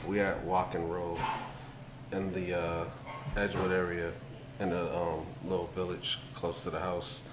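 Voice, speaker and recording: A man's voice: sits low, little pitch movement, a little slow, over loud music. An American man, a vlogger, chatting casually to the camera in a laid-back, repetitive way. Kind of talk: casual vlog chat to camera. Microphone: handheld camera at arm's length.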